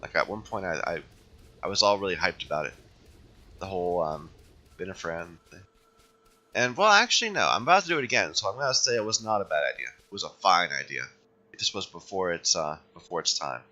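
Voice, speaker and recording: A man with a hoarse voice from being sick, hosting a game playthrough and thinking aloud as he plays, often correcting himself. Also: low voice, animated, fairly quick, sharp tone. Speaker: let's play host. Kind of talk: casual gameplay commentary.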